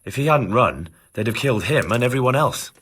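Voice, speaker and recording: An actor playing an earnest, plucky young peasant turned soldier in a video game drama, plain-spoken and reassuring. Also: male voice, mid-range voice, lively intonation, fairly quick, fairly bright tone, husky. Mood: insistent, persuading.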